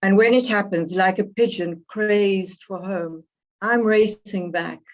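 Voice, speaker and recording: A woman from Africa, slightly hoarse from a cold, reading her poems and introducing them in a reflective, unhurried way. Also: mid-range voice, darkish tone. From South Africa. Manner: unhurried, reflective, pausing between phrases. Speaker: poet. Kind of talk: poetry reading with spoken introductions. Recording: dull lo-fi recording.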